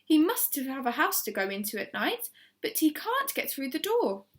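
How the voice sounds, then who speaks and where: An excitable storybook lady who dotes on her pet tortoise, acted out by a woman reader. Her voice is high and swoops widely as she exclaims and gushes. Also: moderate pace. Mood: fretting. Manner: exclaiming, effusive. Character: excitable, gushing, adoring middle-aged lady tortoise owner.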